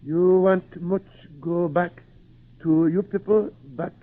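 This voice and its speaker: A man's voice: sits high, fairly even intonation, takes it slowly. A man playing a humble, devoted islander servant in an old-time radio drama, speaking simple broken English with words dropped. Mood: gentle, hopeful. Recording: tinny narrow-band old radio recording.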